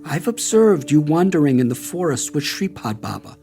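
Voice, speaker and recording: A man's voice: on the high side, big pitch swings, normal speed, gravelly, warm tone, soft background music. A man voicing an elderly, watchful widow with a direct, commanding manner in an audiobook. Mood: firm. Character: elderly, heavy, stooped widow, firm and watchful.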